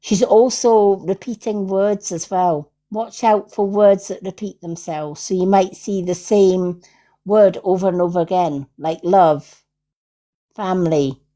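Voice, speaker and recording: A Scottish woman hosts a casual livestream as a medium. She speaks slowly in a soft-edged, mellow voice. Her manner is affirming and repetitive, with frequent little check-ins. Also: on the low side. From Scotland. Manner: affirming, repeats herself, tags with "right?". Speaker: psychic medium hosting a livestream. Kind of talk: casual livestream spiritual reading.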